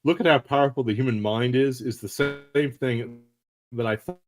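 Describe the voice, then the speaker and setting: A man's voice: mid-range voice, moderate pace, faint music behind. A man, a guest author on a livestream, talking in a wry, emphatic and self-mocking way. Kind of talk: casual livestream conversation.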